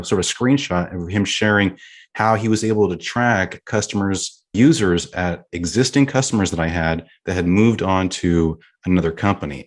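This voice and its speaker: A man hosting a business interview show, speaking in a conversational way. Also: deep voice. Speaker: podcast host.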